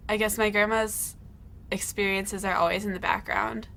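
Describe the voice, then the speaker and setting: A teenage girl talks in a soft, thoughtful way. Her voice has a gravelly edge at a middling pitch. Faint noise sits behind her. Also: little pitch movement, normal speed. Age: teens. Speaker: student filmmaker. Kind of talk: reflective interview.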